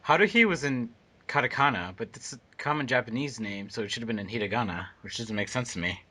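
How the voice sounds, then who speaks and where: A man's voice: medium pitch, fast, sharp tone. A man chatting casually as a member of a commentary panel. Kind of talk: casual chat.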